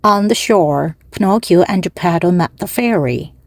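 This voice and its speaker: Woman reading a storybook slowly. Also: on the low side, lively intonation, dry recording. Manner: measured, with pauses between phrases. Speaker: storybook narrator. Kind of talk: slow, clear read-aloud for language learners.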